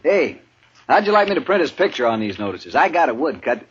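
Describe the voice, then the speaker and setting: An actor playing a folksy, self-satisfied frontier printer with a husky voice, eager and boastful in a 1950s radio western. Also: male voice, mid-range voice, sing-song, brisk, a little hiss or hum, lightly acted. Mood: gleeful pride. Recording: muffled 1950s radio recording.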